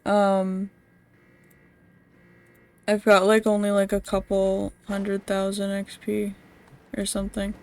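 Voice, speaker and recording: A woman's voice: mid-range voice, monotone, slow, long pauses, darkish tone. A young woman livestreaming a video game with casual, rambling commentary, blunt and slangy with some swearing. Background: game music.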